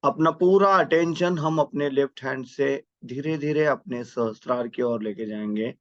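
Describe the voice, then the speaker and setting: A man leading a guided meditation with prayer, giving step-by-step instructions in a measured, devotional manner. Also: mid-range voice, fairly bright tone, dry recording, dull audio.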